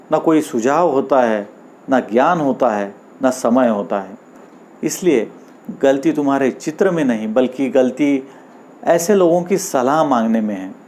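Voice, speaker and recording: Wise, steady male friend for a moral tale, played by a male narrator: unhurried, mid-pitched, gently instructive. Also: close to an everyday voice. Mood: calm, advising. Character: wise, reassuring male friend advising a dejected painter.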